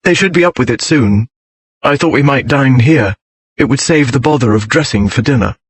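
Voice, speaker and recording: A man's voice: medium pitch, normal speed, breathy edge, dry recording, close to an everyday voice. A man voicing a brooding, proud nobleman in an audiobook, speaking in clipped, formal, dryly witty period style. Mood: curt, composed. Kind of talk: audiobook character voice.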